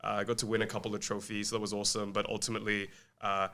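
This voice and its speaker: A man's voice: lowish voice, little pitch movement, fast, pushed and bright. A man in his twenties with an English accent, chatting as a podcast guest about his career, self-deprecating and full of ums and restarts. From Malaysia. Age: 20s. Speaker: guest, agency co-founder. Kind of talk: casual podcast interview.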